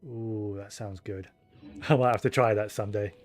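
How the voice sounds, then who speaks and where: A man chatting casually on a game livestream, rambling and thinking aloud in a low-key way. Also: steady tone, normal speed. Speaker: game streamer. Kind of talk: casual livestream chat over gameplay. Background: game music.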